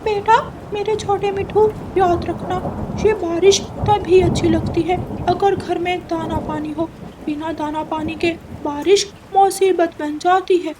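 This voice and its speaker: A wise father parrot lectures in a very high, slow, careful voice, as a woman plays him. Steady background noise runs under the cartoon track. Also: far from the mic. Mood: instructive. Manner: slow, instructive.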